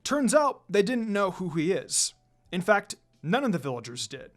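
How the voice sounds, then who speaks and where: A narrator reading a scripted fan fiction story aloud in an expressive storytelling style. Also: medium pitch, normal speed. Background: background music.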